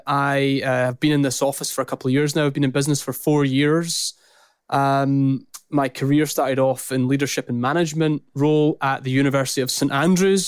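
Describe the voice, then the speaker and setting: A Scottish man in his thirties with a smooth, crisp voice, speaking casually as a guest over a remote call. He strings long lists together in a loose, chatty flow. The sound is close and dry, with no room around it. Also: medium pitch, no room sound. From Scotland. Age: 30s. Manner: rambling, list-heavy, lively. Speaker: podcast guest, business founder. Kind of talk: casual interview talk. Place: his office.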